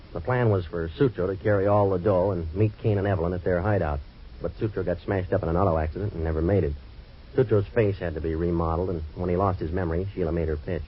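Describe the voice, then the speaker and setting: An actor playing a hard-boiled waterfront detective in a 1940s radio drama, with dry, clipped wisecracks. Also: male voice, deep voice, lightly acted. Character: wisecracking tough waterfront private eye, man. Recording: dull old radio broadcast with faint hiss.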